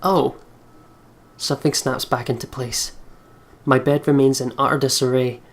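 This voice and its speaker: Mid-pitched man reading a story aloud. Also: little pitch movement, moderate pace, slight background noise. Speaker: let's play host. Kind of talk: reading visual novel narration aloud.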